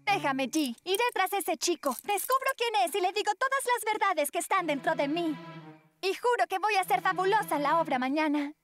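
Cartoon teen heroine: very high pitch, theatrical, hot-tempered schoolgirl, faint music under. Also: moderate pace. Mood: exasperated. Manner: dramatic, expressive. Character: teenage schoolgirl Clara, dramatic and angry over a rival boy.

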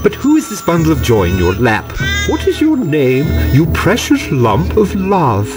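A man's voice: a little high, wildly swooping pitch, measured pace, over loud music. A man acting in an audio drama as a gushing employer who coos endearments at a new hire. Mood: cooing. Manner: effusive, cooing endearments. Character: gushing, sugary employer welcoming a new writer.

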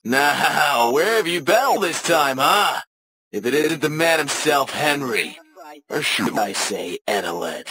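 A man's voice: on the high side, expressive, unhurried, sharp tone. A man playing a mocking, confrontational game antagonist in a taunting, dramatic manner.

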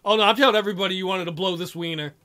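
A man's voice: high-pitched, steady tone, racing, bright, forceful tone. A man reading a visual novel aloud in a theatrical way, adding irreverent joking commentary.

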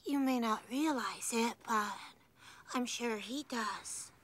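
A woman's voice: a little high, slow pace, a bit bright. An actress playing a genteel mother mouse in a family film, sugary, plaintive and refined. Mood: pleading.

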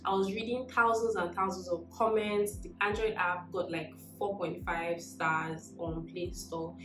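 Young woman from Nigeria, fairly low voice, upbeat and encouraging to-camera vlog delivery, roomy living-room sound. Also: steady tone, a little slow, over music. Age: young adult. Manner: upbeat, encouraging, gestures while talking. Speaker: tech vlogger. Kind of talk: casual storytelling to camera.

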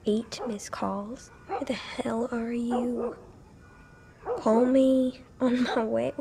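A woman's voice: a little high, flat delivery, very slow, creaky, background noise, exaggerated voice. A woman playing a sassy, anxious young teenage American girl in a naturalistic video game performance. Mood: confused, uneasy.

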